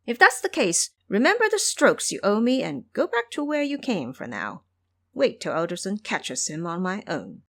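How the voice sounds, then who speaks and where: Woman acting as cunning monkey trickster, male hero, sly, boastful, theatrical delivery, very animated pitch, audio drama. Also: normal speed, no room sound, studio quality. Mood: shrewd, commanding.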